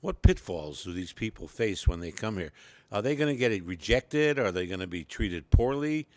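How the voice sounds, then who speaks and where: A man hosting an interview show, asking measured questions and paying his guest compliments. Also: on the low side, animated, dry recording. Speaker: show host.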